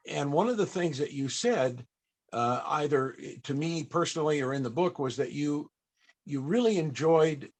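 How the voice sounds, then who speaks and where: A man with a fairly high voice hosts a panel interview. He speaks conversationally, in halting and effusive phrases that circle before they land.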